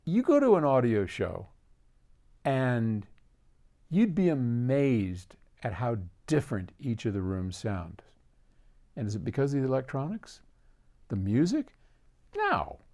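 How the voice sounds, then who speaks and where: A man's voice: medium pitch, huge pitch swings, unhurried, long pauses, darkish tone. An older man hosts casually, answering a viewer's question in an emphatic, opinionated way. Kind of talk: casual explanatory talk to camera.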